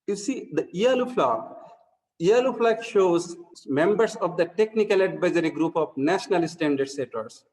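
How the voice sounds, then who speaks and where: Bangladeshi man, formal earnest presenter, slightly high pitch, long reverb on the call. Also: animated, normal speed, darkish tone. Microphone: video call.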